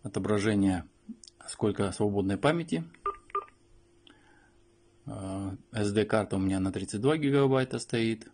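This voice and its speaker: A man with a fairly low voice hosts an unscripted product review. He goes through things step by step, explaining in short pieces and often pausing to find the next word. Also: darkish tone. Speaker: host of a phone review.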